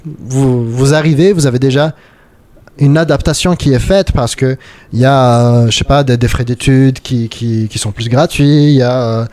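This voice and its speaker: A man's voice: mid-range voice, normal speed, darkish tone, no echo. A young man hosting a podcast, talking in a thoughtful, casual way and often restarting his sentences. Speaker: podcast host. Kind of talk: casual chat.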